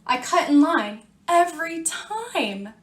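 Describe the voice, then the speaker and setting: Defiant storybook bad seed, played by a woman: slow, dramatic, drawn-out confessions, roomy echo. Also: very high-pitched, sing-song, voice pushed up, full character voice. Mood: brazen, boastful.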